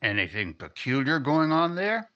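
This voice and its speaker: An actor playing an earnest, persuasive newspaper reporter in a 1950s radio drama. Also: male voice, a little high, very animated, normal speed, sharp tone, lo-fi sound.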